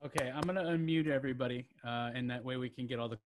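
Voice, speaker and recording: A man's voice: medium pitch, fast, background noise. Guy hosting an open mic on a video call, stumbly and chatty, lots of ums. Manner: rambling, fumbling with many fillers and restarts.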